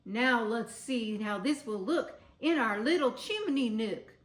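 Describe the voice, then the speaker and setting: Storybook papa rabbit, female performer: excited, wondering, exclamatory, high and swooping. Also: a little slow, reverberant. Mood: eager. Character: excited rabbit father proudly calling his family.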